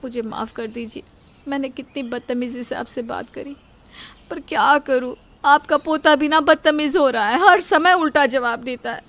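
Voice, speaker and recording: A woman's voice: high voice, normal speed, a bit bright, pitched above the performer's own voice. Woman acting sugary, plaintive daughter-in-law. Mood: falsely apologetic. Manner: ingratiating, plaintive. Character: two-faced daughter-in-law, sweet-talking her mother-in-law. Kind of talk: dramatised moral storytelling.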